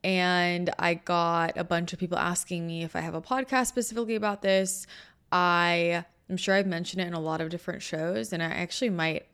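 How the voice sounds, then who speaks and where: A woman's voice: lowish voice, fairly even intonation, a little fast, fairly bright tone, smooth. A woman in her thirties hosting her own podcast, with a slightly scratchy voice, talking in a chatty, rambling way full of self-corrections. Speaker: podcast host, channel and energy healer. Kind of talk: casual solo podcast talk.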